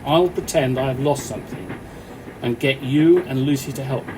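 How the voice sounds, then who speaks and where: An actor plays a composed policeman taking charge in an amateur radio drama. He is measured and reassuring, with a firm air of authority. Train carriage noise runs clearly behind him. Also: medium pitch, close to an everyday voice. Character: Mike, calm, commanding off-duty policeman on a train. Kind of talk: amateur radio play acting.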